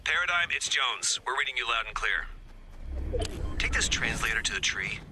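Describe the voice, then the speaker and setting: A man's voice: medium pitch, animated, normal speed, bright, forceful tone. A man voicing a wry male field agent who leads the mission in a casual, wisecracking manner, in video game voice acting. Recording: game audio with background noise.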